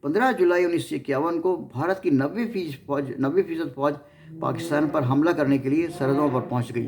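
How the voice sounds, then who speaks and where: Halting Pakistani man with a creaky voice. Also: a little high, darkish tone. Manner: halting, frequently stumbling and repeating words. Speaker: host of a history series. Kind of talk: informal narration of historical events with commentary.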